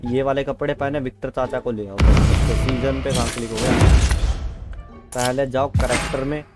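A man's voice: mid-range voice, fairly even intonation, moderate pace. Guy chatting thru a mobile game, repeats himself, music playing behind him. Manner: chatty, repeats phrases, addresses viewers as brothers. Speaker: gaming video creator. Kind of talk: casual gameplay commentary.

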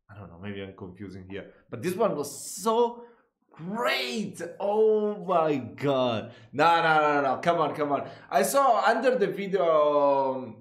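A young man with an Italian accent, speaking English in a casual, gushing music-reaction chat at home. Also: fairly high pitch. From Italy. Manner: excitable, gushing exclamations. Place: small room at home, indoors. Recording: echoey room with music playing.

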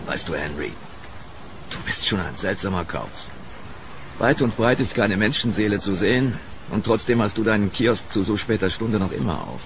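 A man acting as a weary policeman on night patrol in a horror radio play. His voice is fairly low and lively in its rise and fall, grumbling yet friendly, over clearly noisy rain. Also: normal speed. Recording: noisy rain ambience behind voice.

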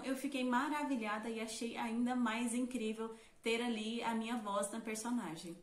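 A young woman with a Brazilian accent speaks in a brightly raised voice, gushing and upbeat. Her words bounce around a small, roomy space picked up from desk distance. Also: fairly high pitch. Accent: Brazilian Portuguese. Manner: upbeat, gushing, lots of "incrível". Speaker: fan dub voice actress. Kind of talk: casual testimonial to camera. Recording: roomy echo.

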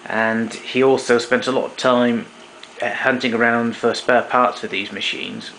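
Bright-voiced man demonstrating equipment. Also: mid-range voice, level tone, measured pace, slight background noise, rough recording. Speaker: hobbyist demonstrator. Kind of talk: explanatory equipment walkthrough. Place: indoor room at home.

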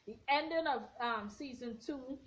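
A young woman in her twenties, slightly hoarse, giving a blunt, sassy recap of a reality show from her bedroom, filmed on a front camera at arm's length. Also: high voice, unhurried. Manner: sassy, blunt, animated hand gestures. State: a bit hoarse. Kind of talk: casual opinionated recap. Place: bedroom at home, indoors. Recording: dull lo-fi webcam audio.

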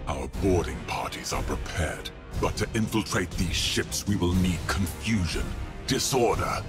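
An actor playing a stern, defiant male Chaos warrior in a video game, deliberate and portentous. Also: deep voice, lively intonation, over music. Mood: calculating. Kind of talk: dramatic video game voice acting.

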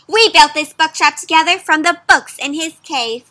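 A woman's voice: high-pitched, moderate pace, bright, forceful tone, no echo. A woman voicing a fierce, loyal little storybook girl who speaks earnestly and emphatically. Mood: fierce, defending. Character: fierce loyal little girl defending her dragon friend.

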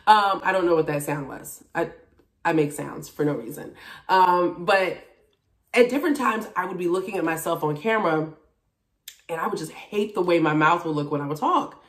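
A woman of about forty chatting casually to camera in a candid, expressive, emphatic way, recorded at home from a few feet away. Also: lowish voice, normal speed. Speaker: vlogger, TV and radio personality. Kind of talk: casual chat to camera about a personal journey. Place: small indoor room at home. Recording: roomy echo, distant microphone.

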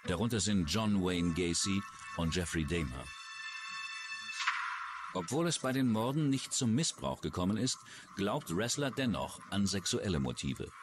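A man's voice: low voice, very animated, a little slow. A man reading scripted true-crime narration as a documentary narrator. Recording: music under the voice. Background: background music.

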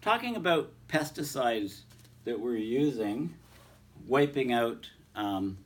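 A man's voice: mid-range voice, lively intonation, takes it slowly, recorded from a distance. A man with a cold, his voice a bit off, explaining research papers in a rambling way, reading passages aloud with many ums and restarts. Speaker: climate science video host. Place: indoors in front of a large projected screen.

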